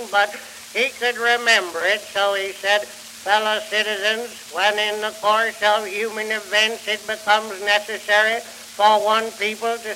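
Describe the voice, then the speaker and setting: A man's voice: sits high, bright, forceful tone, exaggerated voice. A man playing a cheerful, folksy country storyteller with a rural American accent in an early 1900s comic monologue, on a hissy, crackling phonograph recording. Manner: homespun, chuckles between anecdotes.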